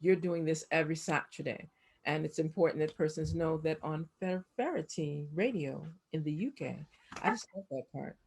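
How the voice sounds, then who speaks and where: A woman co-hosting a video call interview, warm with encouraging affirmations. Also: low-pitched, normal speed, creaky, breathy edge. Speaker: co-host.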